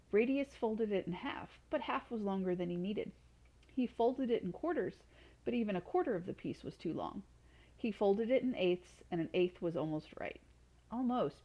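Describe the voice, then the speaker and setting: A woman's voice: medium pitch, muffled recording. A woman reading a children's storybook aloud as a storytime reader.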